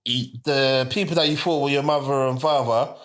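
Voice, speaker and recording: A man's voice: mid-range voice, steady tone, a little fast, fairly bright tone. A young man hosting a casual interview, laid-back and joking with lots of fillers. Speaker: podcast host. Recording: echoey room.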